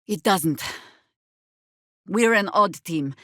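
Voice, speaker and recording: An actress playing a seasoned, middle-aged druid warrior in a fantasy video game, wry and commanding, with a dry, sardonic, measured delivery. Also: female voice, medium pitch, lively intonation, fairly bright tone, dry recording. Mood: calm, resolute.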